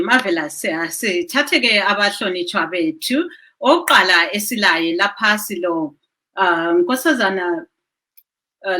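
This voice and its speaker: Woman host, bright sharp voice, short welcoming prompts. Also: lowish voice. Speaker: talk show host. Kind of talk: hosting a live talk.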